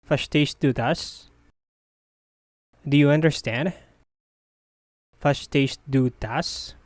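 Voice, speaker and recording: A language teacher drills short phrases at a very slow, measured pace and repeats each one with care. The voice sits at a middle pitch. The recording is dry and close, with no room sound. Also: smooth, dry recording.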